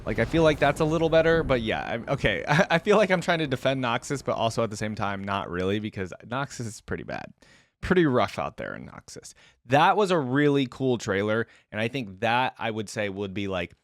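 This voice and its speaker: A man's voice: medium pitch, big pitch swings, brisk, dry recording, clean studio sound. A man giving casual reaction commentary as the host of a video.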